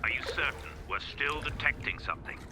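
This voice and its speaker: A man's voice: medium pitch, animated, close to an everyday voice. A man playing a composed, dutiful mission-support helper in a video game, speaking formally and precisely. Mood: concerned, urgent. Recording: loud rushing background noise.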